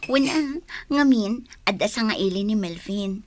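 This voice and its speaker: A woman's voice: mid-range voice, animated, slow pace, a little hiss or hum. A woman acting a devoted, modest, lovelorn young homemaker with an Ilocano accent in a radio drama, speaking in an earnest, homely way. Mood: modest, hospitable.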